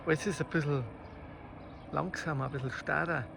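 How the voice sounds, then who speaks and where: A man with a Bavarian accent improvises spoken poetry outdoors in a slow, reflective, halting way, in short phrases with long pauses. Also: fairly high pitch, steady tone, vocal fry. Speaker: amateur poet. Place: outdoors in the countryside. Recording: faint outdoor nature noise. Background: birdsong and rural ambience.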